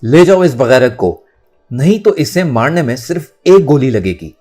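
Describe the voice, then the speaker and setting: Guy doing angry old family tyrant, barking orders in a story, lively pitch, faint music. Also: normal speed, breathy edge. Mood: furious, threatening. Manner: harsh, commanding outbursts. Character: furious tyrannical old family patriarch, threatening.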